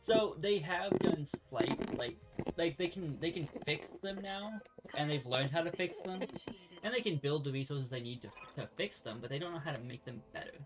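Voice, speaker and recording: Male let's play co-host, slightly high voice, casual, joking, quick to chuckle. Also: expressive, over loud music, low-quality recording. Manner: jokey, chuckles often. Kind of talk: casual game commentary and chat.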